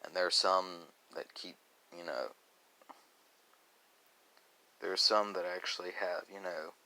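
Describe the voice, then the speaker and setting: Man, lowish voice, heavy vocal fry, rambling ASMR chat, halting delivery, frequent asides. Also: steady tone, pushed and bright, rough recording. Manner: rambling, halting, with asides and repeated "you know". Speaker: ASMR video creator.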